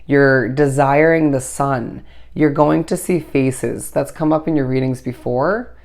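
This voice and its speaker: A tarot reader with a hoarse voice that is still recovering, giving a meandering, intuitive solo reading and speaking straight to the listener. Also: mid-range voice, measured pace, a little mellow. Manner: meandering, intuitive delivery full of "I do feel as if"; reassuring, addresses the listener directly.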